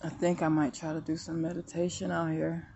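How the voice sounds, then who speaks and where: A woman in her late thirties chatting casually to viewers in a vlog, laughing often, recorded outdoors on her phone in a quiet park. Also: low voice, completely flat delivery, fairly quick, highs cut off, background noise. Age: 30s. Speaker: vlogger.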